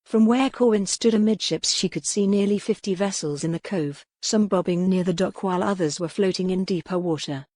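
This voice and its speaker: A woman narrating a historical romance audiobook in a measured, careful storytelling manner. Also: fairly low pitch, mellow, dry recording, studio quality.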